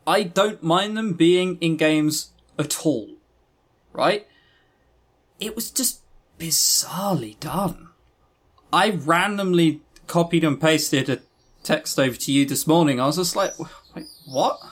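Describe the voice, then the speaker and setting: A man with a fairly high voice and very animated intonation co-hosts a podcast. He comments critically in casual chat, sounding sardonic and exasperated, and tends to interject. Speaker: podcast co-host.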